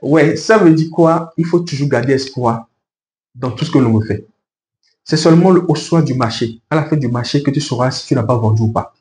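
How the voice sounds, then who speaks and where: A man's voice: medium pitch, a little fast, a little mellow. A man from Benin with a Beninese accent, a native speaker of a local language, giving earnest, explanatory interview answers and advice point by point. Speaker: interview guest, fashion professional. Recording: roomy echo with faint background noise.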